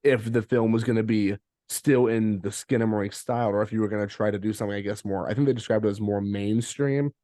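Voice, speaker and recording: Lowish-voiced male podcast host, dry sound. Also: fairly even intonation, fast talker, hardly any pauses, mellow, no room sound. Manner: stammering, repeats words, appreciative. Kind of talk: casual interview chat.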